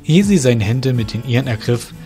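A man reading the narration of an amateur radio play, in a steady, measured way. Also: mid-range voice, soft background music. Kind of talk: scripted storytelling narration.

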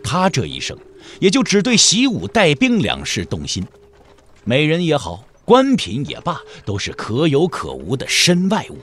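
Lively, higher-pitched male storyteller. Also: moderate pace, a little hiss or hum. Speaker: narrator. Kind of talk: scripted dramatic storytelling narration. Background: music.

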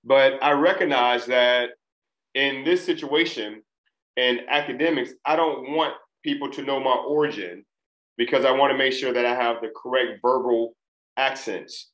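A man of Trinidadian family teaching a recorded test prep lesson, speaking deliberately and enunciating each syllable. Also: mid-range voice, a bit bright. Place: small room, indoors. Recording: echoey room.

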